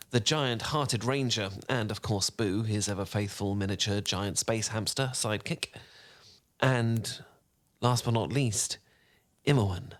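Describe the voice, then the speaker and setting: A man playing a charming, learned wizard who tells a bedtime story in a soft, velvety, theatrical and slightly pompous voice, in a soothing fan roleplay. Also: on the low side. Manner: theatrical, eloquent, unhurried, gently pompous.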